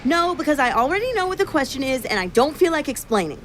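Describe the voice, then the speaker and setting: A very high, swooping female voice for an audio drama. She is brisk, breezy and evasive, a scatterbrain forever dodging blame, with faint noise behind her. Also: very animated. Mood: defensive.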